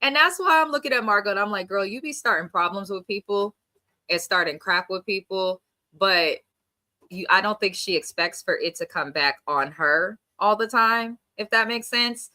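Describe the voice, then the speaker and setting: Bright, chatty woman with a stuffy nose. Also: medium pitch, normal speed. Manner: chatty, animated, slangy. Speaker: reality TV reviewer. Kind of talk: casual recap and reaction.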